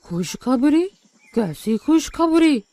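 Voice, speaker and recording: Cartoon rooster, woman performer: clever, unhurried, higher-pitched, mock-innocent teaser, dry close sound. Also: big pitch swings, dark tone, no echo, exaggerated voice. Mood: curious. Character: clever, cool-headed teasing male rooster. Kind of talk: children's animated moral story acting.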